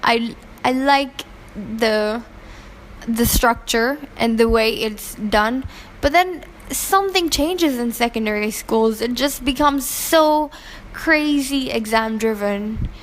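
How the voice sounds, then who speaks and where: A woman from Pakistan, raised in Hong Kong, shares her views in an interview. Her voice sits a little high, and she speaks earnestly with frequent fillers and self-corrections. A faint background hiss runs under the recording. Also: takes it slowly, low-quality recording. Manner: earnest, opinionated, many fillers and restarts. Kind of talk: casual interview answers.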